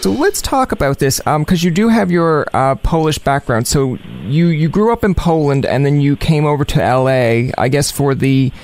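A man hosting an interview, asking questions in a casual, halting way with fillers. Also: on the high side, lively intonation, a little mellow, soft background music. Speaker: host. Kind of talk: interviewing a guest.

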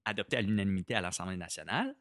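Québécois man, low voice with a bright edge; casual explainer who restarts phrases and drops in English words. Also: animated, very fast, no echo, studio quality. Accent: Québécois French. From Quebec, Canada. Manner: explanatory, repeats words while thinking, mixes in English terms. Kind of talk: casual policy discussion.

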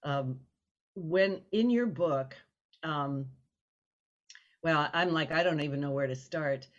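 A woman's voice: sits low, slow. A woman hosting a casual interview, effusive and rambling as she talks, on slightly lo-fi audio. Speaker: interview host.

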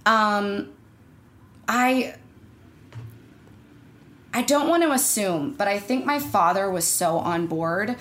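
A woman's voice: medium pitch, animated, slow, long pauses, fairly bright tone. A woman in her twenties, an actor and screenwriter, chatting as an interview guest with lots of restarts and small laughs. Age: 20s. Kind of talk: casual interview chat.

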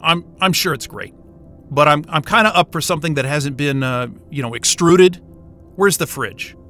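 An actor playing an irreverent, wisecracking astrophysicist in a sci-fi audio drama, with a sarcastic, informal and exasperated way of talking. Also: male voice, a little high, normal speed, sharp tone, soft background music. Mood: wary, puzzled. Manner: sarcastic, informal, hesitant muttering and outbursts.

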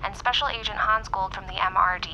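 An actress playing a serious radio news reporter delivering a formal, projected bulletin in an audio drama. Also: female voice, mid-range voice, steady tone, brisk, bright, forceful tone, highs cut off. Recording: car radio broadcast with faint noise.

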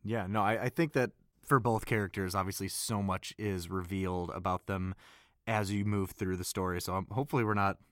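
A man's voice: deep voice, fairly even intonation, fairly quick, a bit bright, dry recording, clean studio sound. A male voice actor chatting casually and rambling a little about a drama he worked on. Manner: loose and rambling, many "like" fillers. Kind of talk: casual behind-the-scenes chat.

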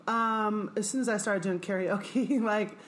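A woman's voice: mid-range voice, a little slow, slight background noise. A woman in her forties from Kansas, a singer talking loosely and profanely in an interview, with many fillers. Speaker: guest performer and singer. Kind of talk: casual interview chat.

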